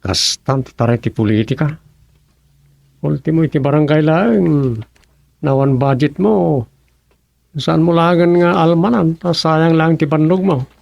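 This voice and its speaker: A man's voice: fairly high pitch, unhurried, creaky, pauses often, darkish tone, no room sound, muffled recording. A man acting a grumbling, ambitious townsman in a radio comedy drama. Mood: complaining. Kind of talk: radio serial comedy drama dialogue in Ilocano.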